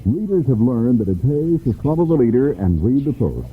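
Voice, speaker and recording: A man's voice: mid-range voice, sing-song, moderate pace. A man announcing a scripted local advertisement on old radio. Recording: tinny old radio with faint noise.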